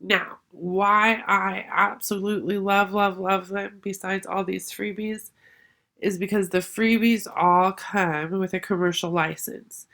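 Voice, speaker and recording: A middle-aged woman hosting a craft tutorial, explaining software step by step in a friendly way, sounding congested from a sinus infection. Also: mid-range voice, fairly even intonation, unhurried. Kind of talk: screen-share software tutorial.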